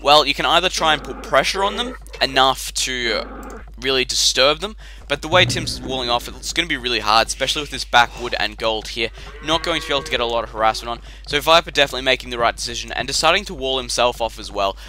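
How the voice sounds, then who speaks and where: A young man giving a chatty, rambling commentary on a game replay, his voice croaky and tired after a late night. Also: mid-range voice, expressive, quick pace, bright, forceful tone, a little hiss or hum. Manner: chatty and rambling, with filler words.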